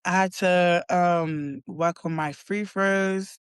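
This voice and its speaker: A young woman with a London accent answers interview questions in a loose, halting way, stacking up likes and restarts as she goes. Her voice sits close and dry, with no room sound around it. She keeps the tone of a casual chat. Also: on the low side, fairly even intonation, smooth, no room sound. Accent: London English. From London, England. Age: young adult. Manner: hesitant, full of likes and restarts. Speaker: college basketball player guest.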